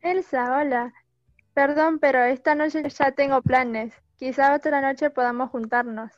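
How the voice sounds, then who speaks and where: A woman's voice: high voice, fairly even intonation, a little slow, dry recording, muffled recording, soft background music. A woman playing a scheming young woman set on revenge. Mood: evasive, friendly. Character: scheming young woman secretly bent on avenging her father. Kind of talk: amateur radio play acting.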